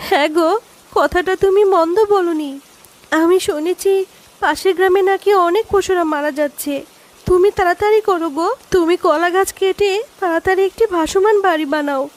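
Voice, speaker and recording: A woman voicing a caring, helpful fox wife and mother in a cartoon, earnest and gently urging. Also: very high-pitched, normal speed. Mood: earnest concern. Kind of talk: cartoon dialogue. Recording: music behind the voice.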